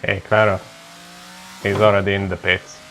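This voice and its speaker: A man with a Hungarian accent who hosts a casual racing game demo and calls out loud, clipped commands to a voice app. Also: low voice, little pitch movement, normal speed, creaky, heavy background noise. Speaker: sim racing video host.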